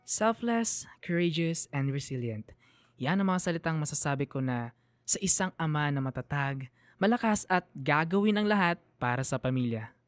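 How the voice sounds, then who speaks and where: A woman's voice: low voice, animated, moderate pace, no echo. A woman radio storyteller reading a scripted, sentimental narration. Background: piano music under the voice.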